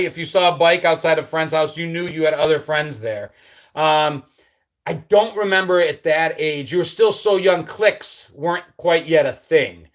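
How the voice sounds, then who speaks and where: A man's voice: on the high side, a bit bright. A middle-aged man from upstate New York hosting a casual live stream, reading viewer comments and reminiscing about his childhood in an easygoing, nostalgic way. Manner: reads viewer comments aloud and answers them; nostalgic, easygoing, with frequent ums and trailing drops. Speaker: livestream host.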